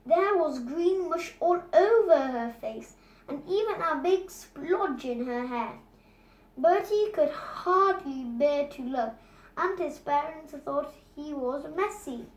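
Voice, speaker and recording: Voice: sits high, expressive, slow pace, roomy sound, highs cut off. A child reading a children's storybook aloud, carefully, with stumbles and repeated words.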